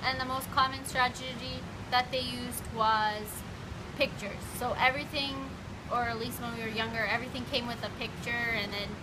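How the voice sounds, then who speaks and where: A woman's voice: fairly high pitch, steady tone, moderate pace, noisy background. A woman giving casual, amused answers in an interview. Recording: loud music over the voice.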